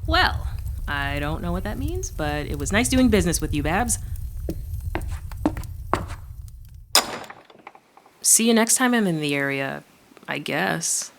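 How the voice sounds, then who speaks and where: An actress playing an irreverent, cocky trickster adventurer in an audio drama, speaking and narrating in a casual, wry, flippant way. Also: female voice, fairly low pitch, very animated, moderate pace, vocal fry, a little hiss or hum. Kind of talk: first-person audio drama narration and dialogue.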